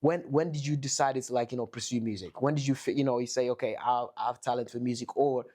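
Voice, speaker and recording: A man's voice: mid-range voice, fairly even intonation, fast talker, warm tone. A man hosting a casual interview with a mixed accent. He is chatty and leans heavily on "you know". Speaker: host.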